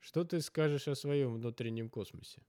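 A man's voice: mid-range voice, normal speed, mellow, no echo, voice pushed up, close to an everyday voice. A man voicing an inquisitive, persistent male adventurer in a narrated story. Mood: probing.

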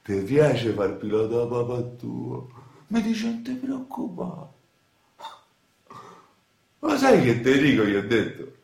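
A bitter young Roman boyfriend in a radio play. His pitch swings widely as he boasts and taunts in coarse slang with a strong Roman accent, repeating himself. The sound is roomy and echoing. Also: very animated, slow pace, gravelly, pauses often, a little mellow, heavily acted. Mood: bitter, sarcastic. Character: bitter, boastful young working-class boyfriend from Rome's outskirts. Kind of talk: naturalistic radio drama dialogue.

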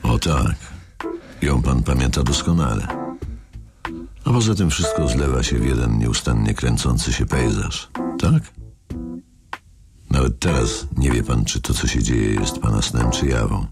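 Creepy old gentleman in a radio drama, super deep creaky voice, calm philosophical threats. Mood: menacing, musing. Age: older. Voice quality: very deep gravelly voice. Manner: slow, deliberate, formally polite, needling. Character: menacing older married man with a grown daughter, coolly philosophical. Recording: studio radio play with music underneath.

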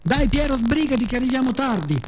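Man acting a nagging anxious mother, raised pitch, comic radio monologue, soft-edged tone, background noise. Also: animated, fairly quick. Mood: impatient, nagging. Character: anxious nagging mother hurrying her son on a rainy morning.

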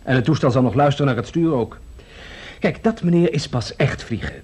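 An actor playing a calm, confident flying pioneer in a Dutch radio play, matter-of-fact and assured. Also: male voice, medium pitch, fairly quick, breathy edge. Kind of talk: 1970s Dutch radio drama acting.

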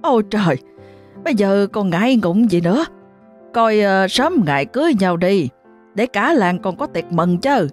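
A woman's voice: mid-range voice, animated, a little mellow, faint music behind, lightly acted. A woman voicing a jovial middle-aged village man, a kindly neighbor. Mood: teasing. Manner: teasing, then worried and hurried.

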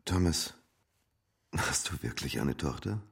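An actor playing a tender, hesitant man consoling a friend in a naturalistic radio drama, with a gravelly, husky murmur. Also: a bass voice, animated, a little slow, exaggerated voice. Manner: hesitant, gentle.

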